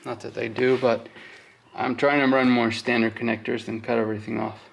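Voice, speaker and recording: Casual man with a gravelly, creaky voice. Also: medium pitch, little pitch movement, fairly quick. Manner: informal, thinking aloud. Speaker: host of a hands-on wiring walkthrough. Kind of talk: casual workshop explanation while working.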